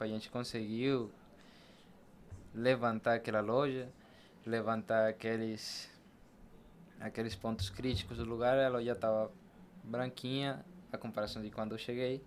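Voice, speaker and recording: A man's voice: medium pitch, slight background noise. A man speaking as an interview guest, telling his experiences in a conversational podcast style. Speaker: podcast guest, barber and shop team leader.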